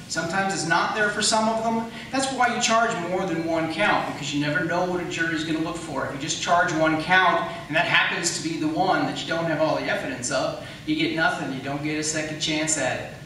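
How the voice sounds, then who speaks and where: Man, debating candidate, argumentative and explanatory, sharp bright tone, distant mic, strong echo. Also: a little high, brisk. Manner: argumentative, explanatory, poses questions. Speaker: candidate for county attorney. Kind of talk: political debate rebuttal. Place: large echoey room at a public debate. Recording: echoey room, distant mic, faint noise.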